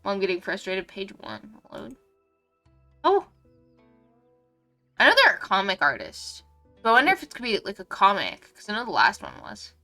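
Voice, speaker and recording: A young woman streams a game with a bright, forward voice that is clearly congested. Her talk is casual and scattered, full of little asides to herself. Music plays faintly in the background. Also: mid-range voice, big pitch swings, normal speed, pauses often. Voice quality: stuffy, congested nose. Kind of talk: casual live stream chat while playing a game.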